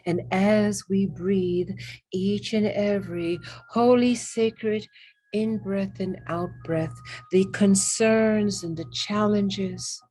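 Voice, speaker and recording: A woman with a mid-pitched voice leads a guided meditation. She speaks extremely slowly and gently, setting down short phrases with long pauses between them. Her delivery is soothing and reverent, in an ASMR-like meditative style. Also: steady tone.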